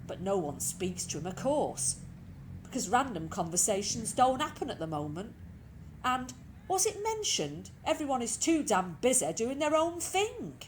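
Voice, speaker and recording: A woman's voice: on the high side, very animated, normal speed. A woman reads a short story expressively, in a conversational way that addresses the listener. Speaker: reader of short stories. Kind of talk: expressive scripted story reading. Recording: clearly noisy with background ambience.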